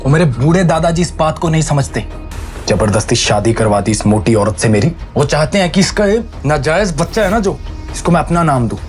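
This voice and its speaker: Male actor as scornful young husband, arrogant, taunting, slightly high voice, audio drama, music underneath. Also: animated, a little fast, close to an everyday voice. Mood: bitter scorn. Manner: contemptuous, taunting. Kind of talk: Hindi audio drama acting.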